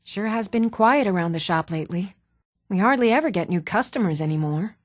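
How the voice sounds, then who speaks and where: An actress playing an adult townswoman in a fantasy role-playing game, speaking in an even, measured, clearly enunciated way. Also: medium pitch, dry recording, studio quality, lightly acted. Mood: earnest, concerned. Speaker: video game voice actor. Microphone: close, dry studio microphone.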